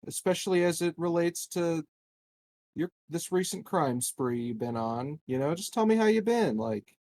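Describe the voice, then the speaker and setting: A man's voice: on the high side, animated, moderate pace, darkish tone, voice pushed up, lightly acted. A man playing an overly friendly good-cop interrogator in a tabletop game, coaxing in a chummy way.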